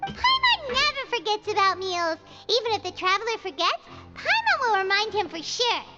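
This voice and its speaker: A woman voicing a tiny, perky, easily indignant childlike female companion in a video game, squeaky and exclamatory. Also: huge pitch swings, moderate pace, long reverb tail, dull audio, over loud music, full character voice. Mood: cheerful. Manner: speaks of self in third person, exclamatory.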